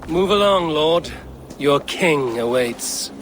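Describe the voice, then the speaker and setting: A man's voice: on the high side, lively intonation, slow pace, background noise. A man playing a dry, mocking Viking warrior in a game, with a wry, taunting delivery. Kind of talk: historical fantasy game acting.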